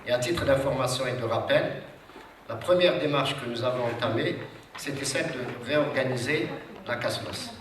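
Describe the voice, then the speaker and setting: A man's voice: mid-range voice, moderate pace. A man with a cold giving a formal, hesitant speech to an audience at a seminar, with many fillers and restarts. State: has a cold. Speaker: social security official speaking at a seminar. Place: large hall with audience. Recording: echoey hall, distant mic, audience noise.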